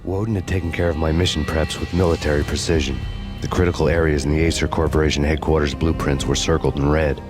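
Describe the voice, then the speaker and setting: Hardboiled male ex-cop, noir voice-over, extremely deep voice, flat and deadpan, brooding, music score. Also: fast talker. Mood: grim. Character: bitter, hardboiled male ex-cop seeking revenge.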